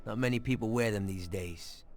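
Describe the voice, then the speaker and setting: A man's voice: lowish voice, moderate pace, natural voice. An actor playing a confident, street-tough gangster henchman in a video game. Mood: confident, scheming. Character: cocky male gangster henchman laying out a hit. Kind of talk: video game cutscene acting.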